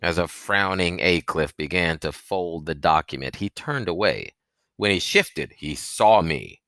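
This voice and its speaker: A man narrating an audiobook in an expressive, suspenseful, dramatic storytelling style. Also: deep voice, a little slow, dry recording, studio quality.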